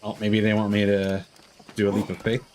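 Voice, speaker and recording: Low-voiced man casually streaming a game. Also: completely flat delivery, a little fast, darkish tone, a little hiss or hum. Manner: rambling, thinking aloud. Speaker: live streamer playing a video game. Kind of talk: casual gaming livestream commentary.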